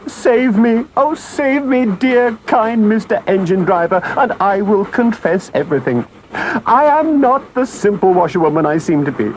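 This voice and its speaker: A man's voice: sits high, expressive, normal speed, slight background noise, voice pushed up. A man voicing a boastful, self-pitying toad in a storybook, with a melodramatic, wheedling manner. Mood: desperate pleading.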